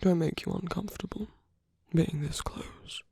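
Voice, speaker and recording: A performer playing a suave, flirtatious villain at a royal masquerade in an ASMR audio roleplay, speaking softly and breathily with teasing, lingering pauses, close to the microphone. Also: medium pitch, dry recording. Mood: seductive, scheming. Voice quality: breathy, soft, often near whisper. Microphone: close dry microphone.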